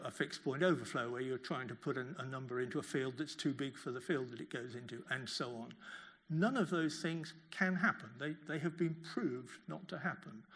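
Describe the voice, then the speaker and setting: Older male academic, creaky voice, halting delivery, answering questions at a lecture. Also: mid-range voice, a bit bright. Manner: halting, repeats words while phrasing. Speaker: professor giving a lecture.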